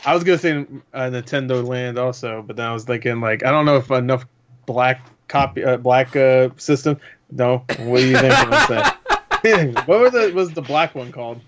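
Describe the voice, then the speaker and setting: A man's voice: medium pitch, normal speed, a little hiss or hum. A young man co-hosting a casual gaming podcast, laughing often and swearing casually. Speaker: podcast co-host.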